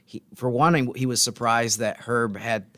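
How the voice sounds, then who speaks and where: A man's voice: medium pitch, fairly even intonation, a little slow, a bit bright, dry recording. Man chatting sports, close dry sound. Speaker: sports podcast co-host and team reporter. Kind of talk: casual basketball talk.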